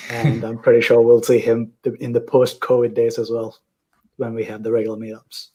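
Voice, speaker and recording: A man in his thirties co-hosting a livestreamed meetup over a video call, relaxed and full of you-knows. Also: medium pitch, a little fast, breathy edge, rough recording. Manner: relaxed, many you-knows and kind-ofs. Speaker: meetup co-host.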